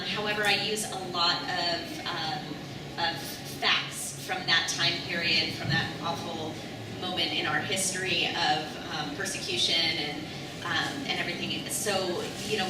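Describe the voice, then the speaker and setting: A woman author speaking casually on a convention panel, loud, with many ums and likes. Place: convention hall panel room. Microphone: panel mic heard from far off. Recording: booming echoey hall, distant, noisy.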